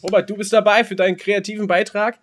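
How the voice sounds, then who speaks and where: A man with a slightly high voice chats casually as a podcast co-host. He teases as he goes and rambles through his stories, often repeating words. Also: level tone, fast. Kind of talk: casual banter and storytelling.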